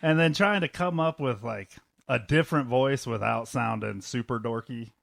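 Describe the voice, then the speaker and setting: A man's voice: medium pitch, normal speed, gravelly, no room sound, clean studio sound. A man talking casually as an interview guest, in a hesitant, self-deprecating way. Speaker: voice actor guest on a cast interview. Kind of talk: casual unscripted interview talk.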